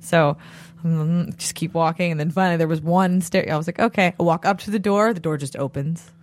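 A woman with a slightly raspy voice co-hosts a podcast, telling stories in an easygoing, chatty way. Her voice sits a little low, and the sound is dry and close. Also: a little fast, no room sound. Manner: laid-back storytelling, laughs often. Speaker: podcast co-host. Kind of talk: casual chat.

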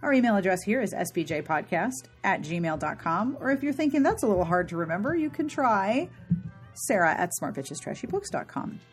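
A woman's voice: medium pitch, very animated, brisk. A woman hosting a podcast, chatting casually and wryly through an informal outro. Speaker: podcast host. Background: music.

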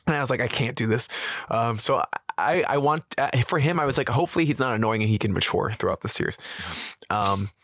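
A man co-hosting a casual podcast, excitable and quick to laugh, his voice leaping up when he is surprised. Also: no room sound. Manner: excitable, jumps high in pitch when surprised, laughs often. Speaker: podcast co-host. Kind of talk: casual chat recapping a reality show.

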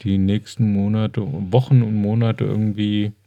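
A man's voice: fairly low pitch, level tone, normal speed, creaky, dry recording, lo-fi sound. A man co-hosting a podcast in casual conversation, laid-back and wry, close to the microphone. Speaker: podcast co-host. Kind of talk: casual chat.

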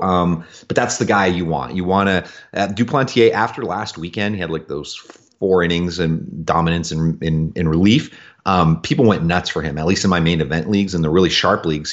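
Deep-voiced man, dull lo-fi sound. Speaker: podcast co-host and fantasy sports analyst. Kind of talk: casual sports talk, analysis. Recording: dull, lo-fi voice connection.

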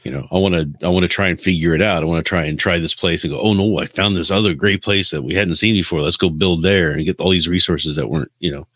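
A man's voice: low voice, steady tone, quick pace, hardly any pauses, fairly bright tone, no room sound. A man talking casually as a podcast co-host, questioning and opinionated. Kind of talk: casual chat about video games.

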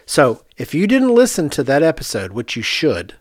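A man's voice: a little high, wildly swooping pitch, normal speed, dry recording. Middle aged guy hosting a podcast, casual and candid, really emphatic, dry close mic. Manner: candid, emphatic, repeats phrases for effect. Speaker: podcast host, architect.

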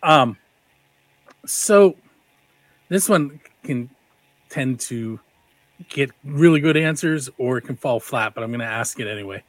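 A man's voice: medium pitch, a little slow, long pauses, dry recording, rough recording. Man in his forties hosting. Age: 40s. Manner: playful, relaxed questioner. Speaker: podcast host. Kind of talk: casual interview. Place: recording studio.

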